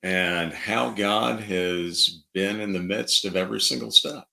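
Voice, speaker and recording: A middle-aged man from Dallas, Texas, co-hosting a podcast in casual conversation with a halting, reflective manner. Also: sits low, gravelly, sharp tone. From Dallas, Texas, United States. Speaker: podcast co-host.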